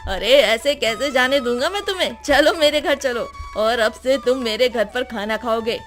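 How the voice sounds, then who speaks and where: A woman voicing a kind, reassuring married man who speaks like a warm, insistent elder brother in a children's moral story. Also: a little high, moderate pace, soft background music, natural voice.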